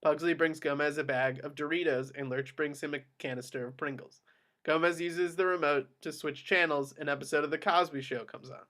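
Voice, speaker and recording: A man's voice: fairly high pitch, fairly even intonation, a little fast, pushed and bright. A man reading screenplay action descriptions aloud as narrator in scripted narration.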